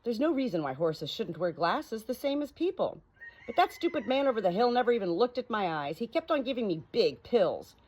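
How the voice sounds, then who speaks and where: A woman's voice: a little high, big pitch swings, brisk, darkish tone, pitched above the performer's own voice. Woman voicing an aggrieved, plain-spoken plow horse. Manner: complaining, matter-of-fact.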